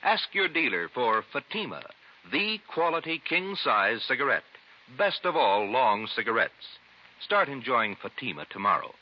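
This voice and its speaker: A man reading a 1950s radio commercial as an announcer, with an emphatic sales pitch, on a vintage recording. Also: very animated, measured pace, lo-fi sound, background noise. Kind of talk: 1950s radio cigarette ad read.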